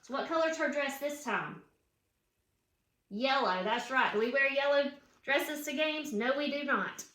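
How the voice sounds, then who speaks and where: A woman's voice: high-pitched, expressive, measured pace, bright, forceful tone. A woman reads a children's picture book aloud with chatty asides, putting questions and emphatic cheers to young listeners. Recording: roomy, echoing room sound.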